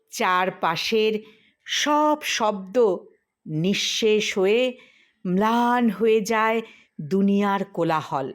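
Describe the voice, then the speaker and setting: A woman reciting a poem in short, measured phrases with deliberate pauses between them. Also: a little high. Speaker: poetry reciter. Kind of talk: slow Bengali poetry recitation.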